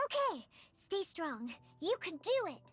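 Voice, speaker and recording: Bossy little kid girl for a game, super squeaky n bouncy, music behind. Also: very animated, a little slow, a bit bright, exaggerated voice. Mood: worried, reassuring. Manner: insistent, emphatic. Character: bossy, eager little girl, caring and impatient. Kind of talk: video game cutscene acting.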